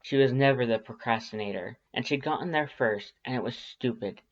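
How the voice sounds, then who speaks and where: A woman's voice: a bass voice, brisk. Woman narrator, slightly muffled recording. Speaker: fan-fiction narrator. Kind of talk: scripted story narration.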